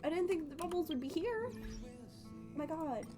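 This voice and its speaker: A woman's voice: extremely high pitch, expressive, normal speed, over music. A woman live streaming a video game, giving casual, playful, slangy commentary. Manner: playful, slangy, reading game text aloud.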